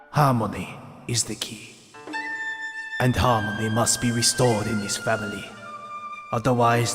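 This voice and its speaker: A man voicing a wise, elderly rat sensei in an audio drama, measured and formal like a stern fatherly master. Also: mid-range voice, lightly acted. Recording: long hall reverb, music behind.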